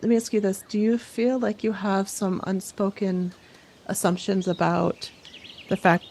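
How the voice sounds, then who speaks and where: Female host as camp guide. Unhurried, deliberate, encouraging delivery that leads listeners through imagined scenes. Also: mid-range voice, little pitch movement, darkish tone, a little hiss or hum. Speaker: host, playing a camp director guiding campers. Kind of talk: guided imagery coaching, storytelling.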